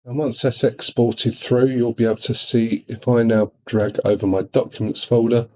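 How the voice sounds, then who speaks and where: A man demonstrating software in an informal tutorial, explaining things step by step. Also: medium pitch, little pitch movement, moderate pace. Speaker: product demonstrator.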